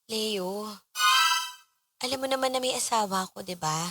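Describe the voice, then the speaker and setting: A woman's voice: medium pitch, normal speed, loud music behind the voice, lightly acted. A woman acting a married woman torn over her returning first love in a Tagalog radio drama, both in dialogue and in confiding narration. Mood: hesitant, torn.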